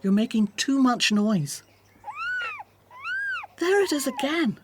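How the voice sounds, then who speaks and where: An actress playing a willful, commanding Egyptian princess in a radio drama, haughty but with a tender streak. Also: female voice, fairly high pitch, big pitch swings, slow, slight background noise. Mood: imperious. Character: imperious, willful Egyptian princess, softening to babies.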